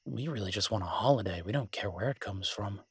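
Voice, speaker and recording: Man acting a husky, low-voiced smitten werewolf boyfriend. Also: quick pace, no echo, studio quality. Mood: relaxed, affectionate. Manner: hesitant, rambling, tender and teasing. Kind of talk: intimate romantic audio roleplay, conversational.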